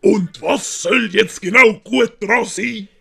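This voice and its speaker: A woman voicing a grumpy, scheming male master in an audiobook, creaky and disdainful. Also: mid-range voice, slow pace, a bit bright, heavily acted. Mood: grumpy, annoyed. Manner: grumbling, disdainful.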